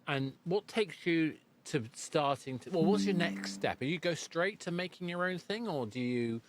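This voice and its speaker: A man's voice: fairly high pitch, animated, normal speed, background noise. A man hosting a conversational interview, circling his way into questions. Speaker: podcast host and presenter.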